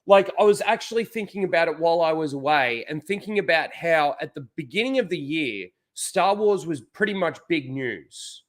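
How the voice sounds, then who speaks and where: A man's voice: a little high, animated, normal speed, hardly any pauses. A man with a head cold and blocked nose, rambling casually to his chat as a livestream host, full of "like" and restarts.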